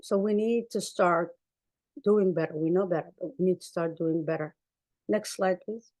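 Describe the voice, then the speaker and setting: A woman's voice: on the low side, moderate pace, mellow. Earnest woman presenting. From Somalia. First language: Arabic. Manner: earnest, emphatic, repeats phrases for stress. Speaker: clinician presenting. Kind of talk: conference talk with slides.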